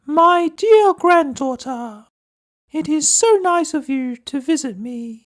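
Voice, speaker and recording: A man plays a kindly old granny from a fairy tale, extremely high-pitched. She calls out slowly, stretching her words. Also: animated, full character voice. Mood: welcoming. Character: kindly old grandmother, ill in bed.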